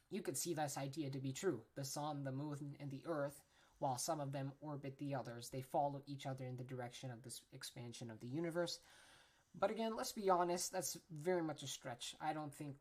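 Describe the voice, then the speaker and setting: A woman's voice: low voice, a little mellow. A woman hosting a casual educational podcast, chatty and informal, often tagging her points with "right?", correcting herself, and apologizing for her pronunciation. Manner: chatty, tags lines with "right?", frequent self-corrections. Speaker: podcast host.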